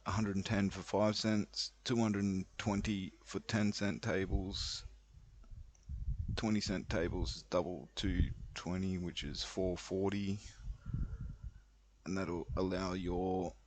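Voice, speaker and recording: A man giving casual commentary as he explains his own gameplay, thinking aloud in an unhurried way with pauses. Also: deep voice, level tone, a little mellow. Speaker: online roulette player explaining a betting strategy. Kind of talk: casual screen-recorded commentary.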